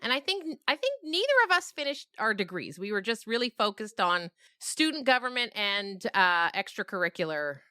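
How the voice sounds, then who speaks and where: A woman's voice: mid-range voice, big pitch swings, normal speed, few pauses, sharp tone, clean tone, no room sound, clean studio sound. A woman podcast creator chatting casually and informally, as if to friends. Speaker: podcast creator and host, being interviewed. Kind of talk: casual chat.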